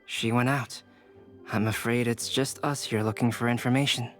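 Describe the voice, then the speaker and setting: A calm young male scholar played by an actor in an anime fantasy game. His voice is medium in pitch and cool, with music underneath. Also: moderate pace, lightly acted.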